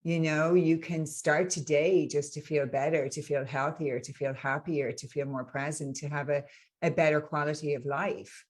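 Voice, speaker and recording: A woman's voice: deep voice, steady tone, normal speed. A woman in her forties with an Irish accent from Dublin, an earnest, upbeat coach talking as a podcast guest. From Ireland. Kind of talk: conversational interview.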